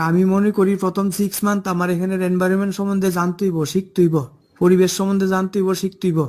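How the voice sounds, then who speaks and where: A man's voice: sits high, darkish tone, close to an everyday voice. A man voicing an earnest, humble job candidate in a cartoon, polite, with an eastern Bengali dialect. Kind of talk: cartoon dialogue.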